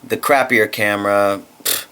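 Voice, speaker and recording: A man's voice: on the low side, fairly even intonation, a little slow, bright, forceful tone, smooth, faint music behind. A man in his twenties chatting casually to his camera while lying in bed, rambling and candid with a self-deprecating streak. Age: 20s. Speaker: vlogger. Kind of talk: casual vlog chat to camera. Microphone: handheld camera mic.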